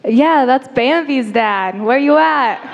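A woman's voice: sits high, lively intonation, slow pace, highs cut off. A teenage girl co-hosting a school event, giggling and teasing as she introduces the speaker. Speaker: student event co-host. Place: school auditorium stage. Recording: noisy crowded hall.

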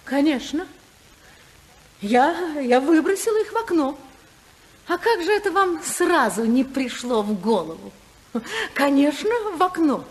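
An actress playing a proud, anguished, determined senator's wife in a 1950s radio drama, theatrical and emphatic with dramatic pauses. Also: female voice, high voice, big pitch swings, rough recording. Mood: defiant, agitated. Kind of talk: 1950s Russian radio play acting.

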